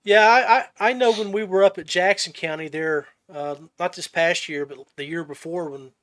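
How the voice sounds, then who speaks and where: A man's voice: high voice, steady tone, normal speed, fairly bright tone. A man hosting a casual interview podcast, talking in an informal, folksy way. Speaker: podcast host.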